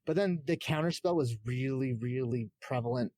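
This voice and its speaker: A man's voice: mid-range voice, moderate pace, warm tone, dry recording. A man on a podcast panel, chatting casually about video games. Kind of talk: casual gaming chat.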